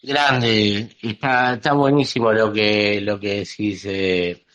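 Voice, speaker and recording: A man's voice: on the low side, steady tone, slow pace, fairly bright tone, dull audio. A man hosting an online discussion in an informal, questioning way. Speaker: host of an online audio discussion. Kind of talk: casual moderated political chat. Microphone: live online audio chat.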